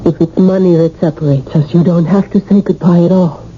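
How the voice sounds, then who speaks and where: An actress playing a cool, seductive mistress with sinister connections in a 1980s radio drama, measured and knowing. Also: female voice, fairly low pitch, steady tone, close to an everyday voice. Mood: tempting, ominous. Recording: muffled, noisy old radio broadcast.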